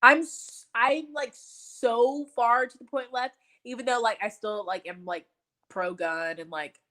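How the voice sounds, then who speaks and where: A woman from Texas chatting casually in an animated, opinionated, emphatic way, swearing freely. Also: a little high, measured pace. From Texas, United States. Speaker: live stream host. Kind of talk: casual hangout chat.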